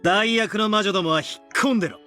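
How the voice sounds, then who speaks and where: Brash young male hero, acted by a man, highish voice, animated swings, rough cocky phrasing, loud music behind, visual novel. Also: racing, close to an everyday voice. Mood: defiant shouting. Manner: rough, cocky masculine speech. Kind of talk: anime-style visual novel acting.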